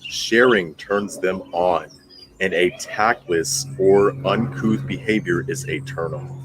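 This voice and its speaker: A man with a low-pitched voice hosts an astrology commentary, moving between reading and ad-libbing. His delivery is emphatic and blunt, with phrases repeated to drive them home. Faint noise and birdsong sit behind his voice. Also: slow. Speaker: host of an astrology commentary channel. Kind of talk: astrology commentary, part read, part ad-libbed.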